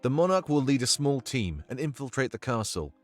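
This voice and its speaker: A man playing a confident, measured rebel strategist in a fantasy visual novel game, briefing formally and deliberately. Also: mid-range voice, very animated, faint music behind, natural voice. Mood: resolute, briefing.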